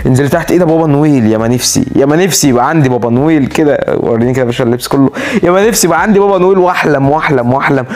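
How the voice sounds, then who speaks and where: A young man with an Egyptian accent, tired from no sleep, giving excitable, dramatic casual commentary as a gaming YouTuber showing off a game account. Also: mid-range voice, very fast. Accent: Egyptian Arabic. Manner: excitable, dramatic outbursts, playful threats.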